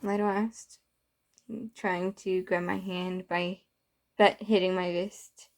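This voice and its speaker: A woman reading her own first-person story aloud, halting and sometimes stumbling over the lines. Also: fairly low pitch, flat delivery.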